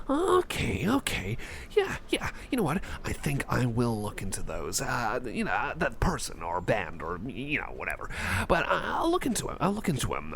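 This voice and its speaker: A man's voice: lowish voice, gravelly, a bit bright, slight background noise, heavily acted. A man playing a jittery, socially anxious, over-caffeinated guy in an ASMR roleplay, rambling and stammering as he repeats himself. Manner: rambling, stammering, repeats phrases, self-doubting. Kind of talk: ASMR roleplay monologue addressed to the listener.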